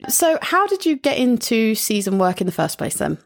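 A woman's voice: fairly high pitch, big pitch swings, normal speed, creaky. A woman hosting a podcast, asking friendly questions in a casual, upbeat interview. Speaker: podcast host.

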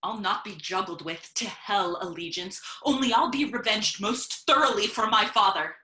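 A woman's voice: mid-range voice, moderate pace, sharp tone, roomy sound, close to an everyday voice. A woman playing a hot-tempered, grieving young nobleman in a Shakespearean audio drama, declaiming vengefully. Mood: furious.

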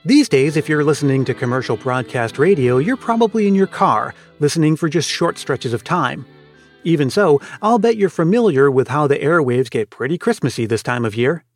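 A man's voice: a little high, animated, normal speed, soft background music. A man hosting a podcast with scripted narration. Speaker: podcast host.

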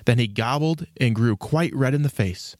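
A man reading scripted storybook narration as the narrator, in an expressive storytelling manner. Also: medium pitch, moderate pace, no room sound, studio quality.

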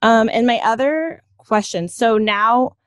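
A woman's voice: a little high, takes it slowly, dry recording, clean studio sound. A woman hosting a casual interview in a chatty, agreeable way. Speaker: interviewer, host.

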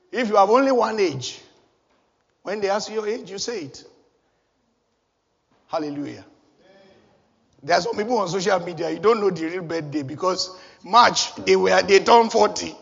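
A man preaching a sermon, animated and chuckling at his own jokes, recorded in a church hall. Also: sits high, creaky, breathy edge. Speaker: pastor. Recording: dull echoey hall recording.

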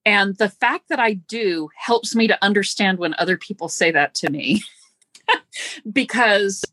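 A woman host speaking thoughtfully, with halting starts and gentle self-deprecation, in a conversational interview. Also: mid-range voice, no echo.

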